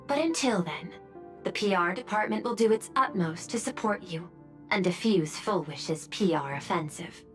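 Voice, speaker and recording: Clinical, logical game executive, played by a woman, mid-pitched, formal, exact. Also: lively intonation, moderate pace, soft background music, lightly acted. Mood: cool, businesslike. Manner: precise, clinical. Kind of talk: video game voice acting.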